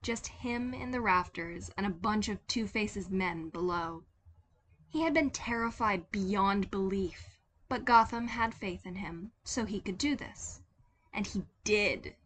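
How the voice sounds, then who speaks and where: A woman's voice: medium pitch, expressive, a little slow, a bit bright. A woman reading a story as a dramatic scripted narration. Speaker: podfic narrator.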